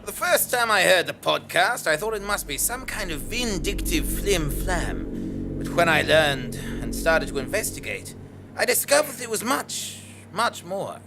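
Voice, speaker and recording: An actor playing a pompous, self-regarding investigative journalist narrating a scripted comic audio drama. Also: male voice, high-pitched, sing-song, unhurried, creaky, sharp tone, faint music behind, heavily acted. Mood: self-important. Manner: pompous, theatrical.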